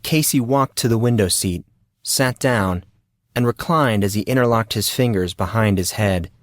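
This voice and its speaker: A man's voice: lowish voice, normal speed, dry recording, studio quality. A man narrating a scripted story as the narrator of an audio drama.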